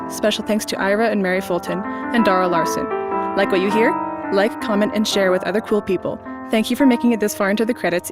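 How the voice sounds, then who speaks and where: Brisk woman reading credits. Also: mid-range voice. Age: young adult. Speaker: credits announcer. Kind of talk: scripted credits narration. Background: guitar music.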